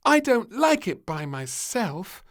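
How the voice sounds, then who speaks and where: A man's voice: sits high, very animated, slow pace, a bit bright, voice pushed up, full character voice. A man voicing an indignant, stubborn little princess, a whiny and demanding small girl in a storybook.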